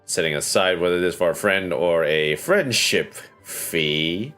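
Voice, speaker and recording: Very deep-voiced man streaming casually. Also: animated, a little slow, a bit bright, faint music behind. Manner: hesitant, musing aloud. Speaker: game livestreamer. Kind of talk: casual livestream commentary.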